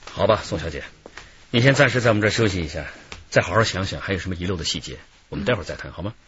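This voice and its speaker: A man's voice: sits low, animated, racing, fairly bright tone, a little hiss or hum. An actor playing a methodical, considerate male police detective in a radio drama. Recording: dull lo-fi radio drama recording.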